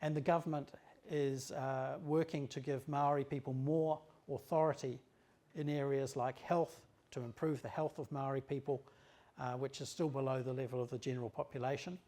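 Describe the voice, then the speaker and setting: Male lecturer, trade office director; measured, halting delivery, middle pitch. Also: flat delivery, dark tone. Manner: measured, with frequent um and uh pauses. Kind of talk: formal lecture to an audience.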